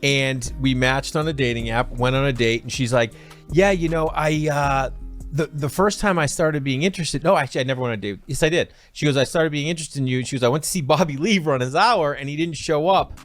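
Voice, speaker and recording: A man appearing as a guest on a comedy podcast, telling a story in a relaxed, sincere way and correcting himself mid-story. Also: mid-range voice, fairly quick, faint music behind. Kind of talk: casual storytelling.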